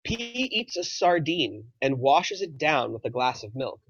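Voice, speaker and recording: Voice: medium pitch, big pitch swings, a little slow, a bit bright, slightly rough, no echo. A narrator reading out stage directions from a film script at a table read. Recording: dry but dull, muffled audio.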